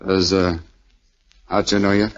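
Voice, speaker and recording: A man's voice: sits low, little pitch movement, a little slow. A man playing a dry, wry army airman buddy in a 1940s radio drama. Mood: gruffly fond. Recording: muffled, noisy 1940s radio recording.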